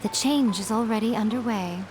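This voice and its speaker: A woman's voice: on the high side, moderate pace, background noise, lightly acted. A woman voicing a sly, self-assured, elegant fox shrine maiden in a video game, with a teasing manner. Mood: smug assurance. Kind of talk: video game character dialogue.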